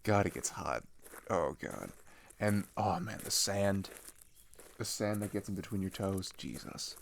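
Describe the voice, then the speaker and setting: Man acting as chatty teen boy traveler, lowish voice, lively swoops in pitch, wry and friendly, halting casual ASMR roleplay. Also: creaky, a little hiss or hum, exaggerated voice. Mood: wry disgust. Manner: casual, hesitant, halting, full of pauses and sighs. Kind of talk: ASMR roleplay, one-sided conversational acting.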